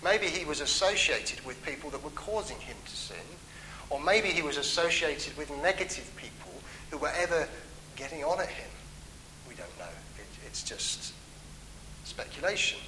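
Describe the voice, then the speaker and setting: Male preacher, earnest and pleading, bright forceful tone, faint hum behind. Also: fairly high pitch, lively intonation, normal speed, rough recording. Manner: earnest, pleading, with emphatic rises and soft trailing endings. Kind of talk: sermon on a psalm.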